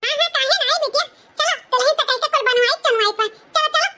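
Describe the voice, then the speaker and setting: A woman voicing a doting but bossy village mother-in-law in a comedy cartoon, effusive, with a Bhojpuri accent and a pitched-up voice. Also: fast, pushed and bright. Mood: welcoming.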